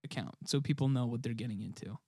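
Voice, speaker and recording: A man's voice: medium pitch, fast talker, a little mellow, no room sound, clean studio sound. A male podcast host in casual chat who gives playful, mock-grand encouragement.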